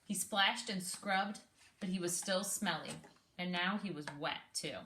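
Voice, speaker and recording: A woman with a bright, clear-edged voice reads a picture book aloud for young listeners. Her delivery is animated and encouraging, and she breaks off to prompt with simple questions. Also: on the low side, measured pace, slight background noise. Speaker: read-aloud host, speech therapist.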